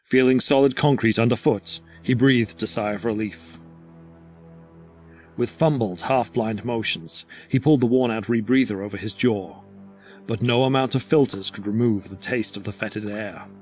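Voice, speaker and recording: A man's voice: fairly low pitch, moderate pace, a little mellow. A man giving scripted narration for a horror audio drama. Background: music.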